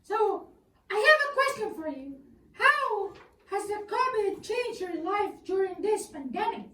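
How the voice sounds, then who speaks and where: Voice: very high-pitched, big pitch swings, measured pace, sharp tone, exaggerated voice. A performer with a childlike voice playing a courteous puppet who hosts a scripted talk show. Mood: upbeat, courteous. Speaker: co-host and interviewer. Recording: echoey room, far from mic.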